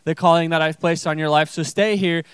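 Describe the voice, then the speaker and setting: A man's voice: a little high, steady tone, a little fast, smooth, no room sound, studio quality. A young man from Zimbabwe, a missionary guest speaker, giving an informal testimony to a church congregation.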